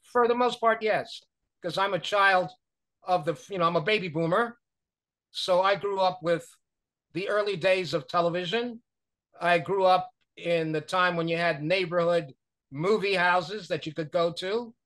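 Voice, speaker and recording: A man's voice: high voice, unhurried, a bit bright, poor audio. An older man from New York, a guest talking loosely over a video call, with many fillers and restarts. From New York, USA. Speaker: guest, author and publicist. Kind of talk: conversational interview. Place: small room at home. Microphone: video call webcam.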